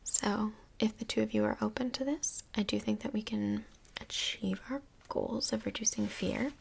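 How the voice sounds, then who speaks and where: A woman playing a kindly, tactful couples' counselor in a soft-spoken ASMR role play, whispery, breathy and gently reassuring, with some hesitation. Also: mid-range voice, steady tone, normal speed. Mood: attentive, patient.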